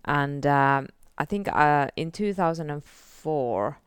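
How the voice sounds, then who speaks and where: Casting note: Finnish woman in her forties, low voice, smooth and clear, soft-spoken guest sharing her testimony, dry close sound. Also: lively intonation, slow, no echo. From northern Finland. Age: 40s. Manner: soft-spoken, many fillers like "like" and "uh". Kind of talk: casual testimony interview.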